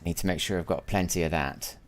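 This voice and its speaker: Tired, low-voiced man streaming a game. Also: fairly quick. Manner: chatty, muses aloud, often repeats words while restarting. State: tired after a sleepless night, voice lower than usual. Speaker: gaming streamer. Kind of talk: casual live gameplay commentary.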